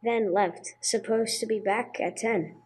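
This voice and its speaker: A woman's voice: a little high, steady tone, measured pace, a little mellow, slightly rough. A woman playing a male clerk at a shabby hotel in an amateur radio drama, casual and clipped. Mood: laconic, casual. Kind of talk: 1950s radio drama acting, amateur church production.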